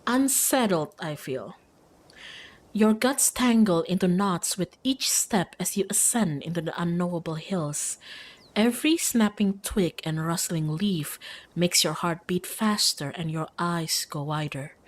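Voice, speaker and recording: A woman's voice: fairly low pitch, unhurried. A woman reading a visual novel aloud expressively as a streamer, with casual asides to viewers.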